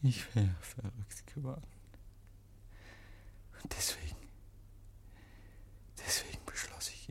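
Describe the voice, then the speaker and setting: An actor playing a deranged, guilt-ridden murderer in 1950s German radio drama, halting and repeating himself, given to breathless whispers and shrieks. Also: male voice, low-pitched, a little mellow, heavily acted. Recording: noisy 1950s radio recording.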